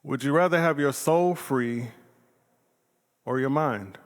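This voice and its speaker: An actor playing a troubled, passionate painter, musing aloud haltingly in a theatrical stage monologue. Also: male voice, medium pitch, lightly acted. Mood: brooding, musing. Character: troubled, passionate male painter, brooding and unhinged. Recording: reverberant hall, stage performance.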